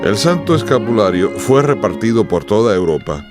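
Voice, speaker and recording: Male narrator, low voice, animated intonation, formal dramatic storytelling, radio drama, clear music behind. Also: normal speed.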